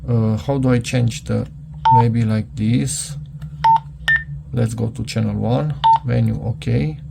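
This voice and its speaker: A man reviews a product hands-on in a casual, unscripted way. His voice is mid-pitched with a lot of gravelly fry, and he speaks haltingly, as if working things out aloud. There is clear noise in the recording. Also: steady tone. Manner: hesitant, halting, muttering to himself.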